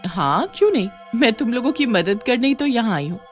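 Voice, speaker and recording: A woman voicing a kind, eager female law teacher in an animated story, with reassuring cheer. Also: fairly high pitch, a little fast, faint music behind. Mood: welcoming, reassuring.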